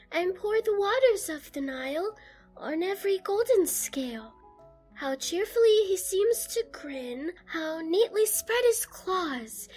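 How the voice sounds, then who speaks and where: A woman's voice: extremely high pitch, heavily acted. A woman voicing a bewildered little girl in an audiobook, musing earnestly aloud and scolding herself. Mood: reciting. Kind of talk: audiobook character acting.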